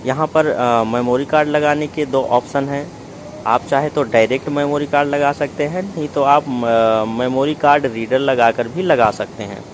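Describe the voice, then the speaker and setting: A man's voice: mid-range voice, a little hiss or hum. A man narrating a product unboxing in a methodical, explanatory way, step by step. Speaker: product reviewer.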